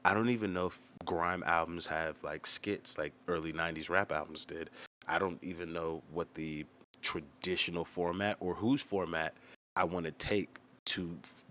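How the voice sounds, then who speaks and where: Low voiced american guy rambling and cussing, rapper talking to his viewers, some noise behind him. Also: steady tone, normal speed, pushed and bright. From United States. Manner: rambling, freely swearing. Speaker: rapper and video host. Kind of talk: casual chat about own music.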